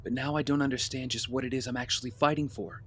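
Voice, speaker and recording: A man's voice: mid-range voice, steady tone, fast talker, fairly bright tone, lightly acted. A man playing a brooding, disillusioned young vigilante in an audio drama, with a weary, earnest manner. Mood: frustrated doubt. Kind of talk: scripted audio drama acting. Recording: clear background noise with ambience.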